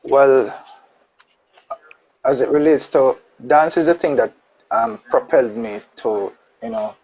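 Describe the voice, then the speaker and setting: Jamaican guy chatting on a phone live, easygoing, mid pitch, lo-fi audio. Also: a little slow, vocal fry, breathy edge. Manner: relaxed, warm greetings with patois phrases. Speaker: guest. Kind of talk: casual live-stream interview. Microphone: phone on a live video call. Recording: dull lo-fi live-stream audio with faint noise.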